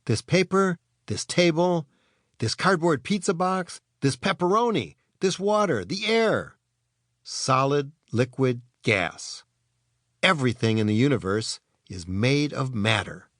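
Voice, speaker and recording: Storybook boy inventor, read by a man: eager, emphatic explainer with very animated pitch, closely recorded. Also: unhurried, dry recording, studio quality. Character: earnest, excitable boy inventor explaining science.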